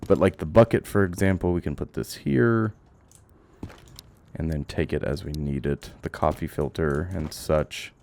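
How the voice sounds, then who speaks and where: Male game streamer, low creaky voice, casual and sweary, talks to himself; noisy recording. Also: steady tone, moderate pace, darkish tone. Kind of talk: casual gameplay commentary, thinking aloud. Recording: audible background noise, clicks.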